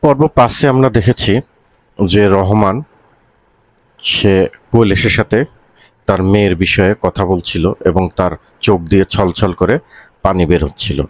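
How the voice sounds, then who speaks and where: A man teaching a literature lesson, recapping in a halting, explanatory way. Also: low-pitched, steady tone, no echo.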